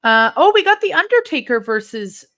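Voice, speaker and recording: A woman from Illinois hosting a live wrestling watch-along, chatting casually and rambling, with intense, sharp S sounds left from a lisp. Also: high-pitched, sing-song, normal speed. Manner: chatty and rambling, heavy use of "like". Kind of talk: casual live-stream chat reading comments.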